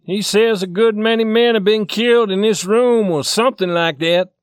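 A man's voice: high-pitched, lively intonation, measured pace, dry recording, clean studio sound, pitched above the performer's own voice. A man voicing a blunt, irritable young man in a story, challenging and exasperated. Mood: irritated. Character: blunt, irritable young son of a hotel owner.

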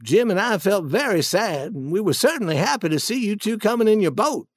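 A narrator as anxious young boy, storybook hero, earnest, pleading, lively pitch movement, dry sound. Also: fairly quick, no echo. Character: earnest, anxious runaway boy explaining himself.

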